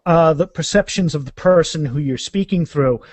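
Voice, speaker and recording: Higher-voiced man in a casual interview. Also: moderate pace, no echo. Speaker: interview guest, author.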